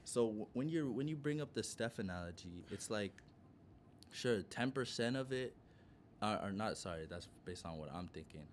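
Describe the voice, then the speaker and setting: A man's voice: on the low side, animated, normal speed, slight background noise. A man co-hosting a podcast in a casual debate, insistent, often restarting as he reworks his point. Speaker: podcast co-host.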